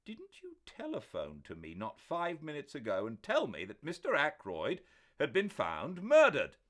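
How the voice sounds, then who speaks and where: A man's voice: a little high, wildly swooping pitch, unhurried, fairly bright tone, voice pushed up, heavily acted. A man voicing an agitated middle-aged doctor who demands answers in a raised, urgent voice.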